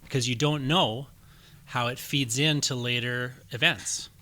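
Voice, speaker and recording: A man's voice: medium pitch, fairly even intonation, measured pace, bright, forceful tone, slight background noise. A man hosting a podcast about writing, talking casually and explaining his points through leading questions. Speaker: podcast host. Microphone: studio microphone.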